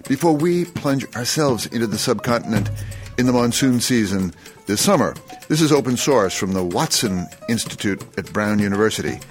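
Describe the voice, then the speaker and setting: A man's voice: fairly low pitch, lively intonation, moderate pace, faint music behind. A man hosting a radio interview, asking eloquent, wordy questions. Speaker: radio host.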